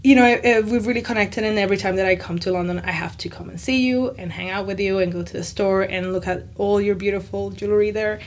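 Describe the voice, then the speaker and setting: A woman's voice: medium pitch, fairly even intonation, quick pace, few pauses. A woman hosting a podcast interview who gushes and exclaims often. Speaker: podcast host. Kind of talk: scripted intro, then casual interview chat.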